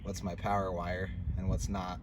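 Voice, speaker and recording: A man's voice: deep voice, little pitch movement, moderate pace. A man giving a casual hands-on tutorial, talking informally and thinking aloud as he works. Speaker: DIY truck vlogger. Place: in or around a pickup truck. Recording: clearly noisy, vehicle ambience.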